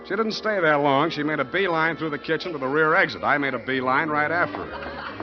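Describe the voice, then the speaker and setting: An actor playing a wry, street-wise private detective, deadpan and wisecracking, in 1940s noir radio style. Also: male voice, fairly high pitch, brisk, natural voice. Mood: wry, narrating. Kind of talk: 1940s radio acting, first-person noir narration. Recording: muffled old radio broadcast with music.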